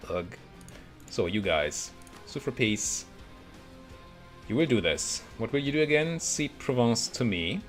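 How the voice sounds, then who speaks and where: A man giving casual let's play commentary on a strategy game, thinking aloud and muttering to himself as he goes. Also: lowish voice. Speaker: video game streamer playing a strategy game.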